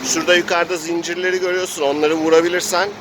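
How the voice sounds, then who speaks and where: A man's voice: on the high side, little pitch movement, rapid-fire, noisy background. A man casually improvising narration as he plays a role-playing game.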